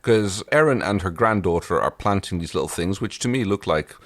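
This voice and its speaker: A man co-hosting a casual TV recap podcast, chatting in an animated way. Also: deep voice, brisk, dry recording. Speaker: podcast co-host. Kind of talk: casual TV episode recap chat.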